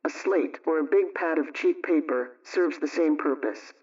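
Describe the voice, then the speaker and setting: A dull, distant old radio recording carries a brisk, friendly homemaking adviser played by a woman. She gives measured, instructive advice in the scripted style of a 1920s household program. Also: low voice, darkish tone, lightly acted.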